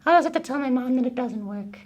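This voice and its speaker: A woman's voice: high voice, expressive, quick pace, darkish tone. A woman voicing a childlike, hesitant young boy puppet in a children's puppet show. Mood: glum. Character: glum, confused young boy puppet named Bobby.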